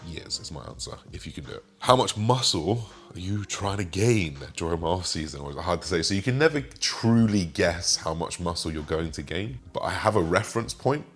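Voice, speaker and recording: A man in his twenties with a slightly raspy voice, chatting casually as he answers viewer questions and rambles with fillers. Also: low-pitched, expressive, a little fast, hardly any pauses. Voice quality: raspy today. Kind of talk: casual Q and A monologue.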